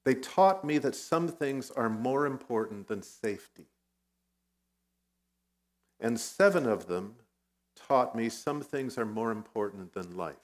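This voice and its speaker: A middle-aged man who grew up in Vietnam, a guest pastor giving a conversational sermon with personal stories, now and then clearing his throat. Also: mid-range voice, animated, measured pace, long pauses. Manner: conversational, self-deprecating storytelling.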